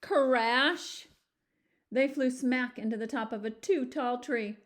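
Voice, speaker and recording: Woman teacher doing a kids read aloud, lively, stops to chat and ask the kids stuff. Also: on the high side, slow pace. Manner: animated, addresses young listeners with questions. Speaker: teacher reading a picture book aloud. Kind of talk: children's storybook read-aloud with chatty asides.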